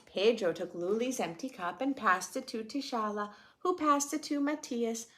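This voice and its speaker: A woman hosting storytime, reading a picture book aloud to preschoolers in a gentle, expressive way and explaining it simply. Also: a little high, moderate pace. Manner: gentle, expressive, addressing young children with questions. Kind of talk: children's read-aloud with simple explanations and questions.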